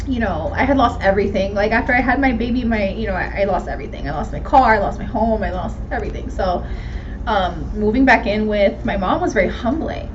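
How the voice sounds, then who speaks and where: A woman's voice: medium pitch, a little fast. A woman of twenty-nine with an American accent, chatting casually and candidly in a slangy, self-mocking way as she hosts a question-and-answer vlog. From Miami, Florida. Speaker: video host answering viewer questions. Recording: dull lo-fi sound with background noise.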